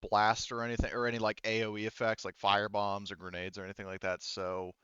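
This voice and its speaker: A man's voice: lowish voice, monotone, quick pace, sharp tone, smooth, no room sound, lo-fi sound. A man acting as game master for a tabletop game, talking casually with swearing and explaining the rules.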